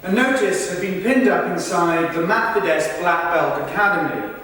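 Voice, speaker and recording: A man performing a rehearsed reading of verbatim texts in a formal, deliberate, projected delivery. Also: high voice, lively intonation, fairly bright tone. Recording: echoey hall, far from mic, faint noise.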